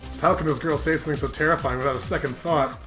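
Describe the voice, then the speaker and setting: A man's voice: a little high, fairly even intonation, racing, sharp tone, roomy sound, soft background music. A man co-hosting a gaming show, reading aloud and bantering casually in a crude, jokey manner.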